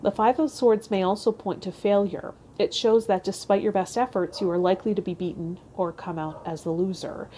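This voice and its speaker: A woman's voice: mid-range voice, fairly even intonation, a little fast, hardly any pauses, dark tone, slight background noise. A woman hosting a tarot vlog, reading aloud and talking to her viewers. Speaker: tarot channel host. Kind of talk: reading card meanings aloud and casual vlog talk.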